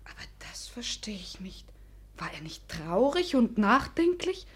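A woman's voice: medium pitch, sing-song, unhurried, husky. An actress playing a romantic, haughty young lady of the gentry in a German radio drama, imperious and eagerly curious. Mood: dreamy, curious. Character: impatient, romantic young noble lady, haughty but girlish.

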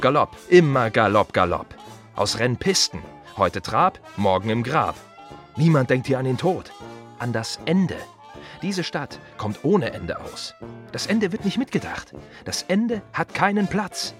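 A man's voice: mid-range voice, fairly bright tone. A man playing a world-weary, wry gravedigger in a radio play monologue, talking conversationally in short, emphatic, rhythmic repeated phrases. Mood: agitated, scornful. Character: world-weary, wry male gravedigger musing on death and the city.

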